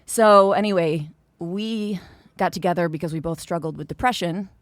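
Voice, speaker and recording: A woman's voice: lowish voice, normal speed, no room sound, clean studio sound. A woman in her thirties hosting a podcast, chatting casually with a wry, self-deprecating comic delivery. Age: 30s. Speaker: podcast host.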